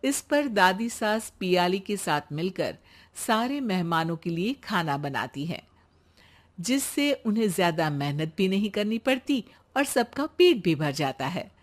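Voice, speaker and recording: A woman narrating a bedtime cartoon story in a scripted, expressive way. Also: mid-range voice, unhurried.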